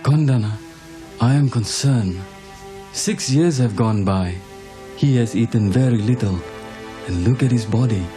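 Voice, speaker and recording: An actor playing a worried, earnest follower of an ascetic prince, grave and concerned, in an animated film. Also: male voice, mid-range voice, unhurried, pauses often, soft background music, lightly acted.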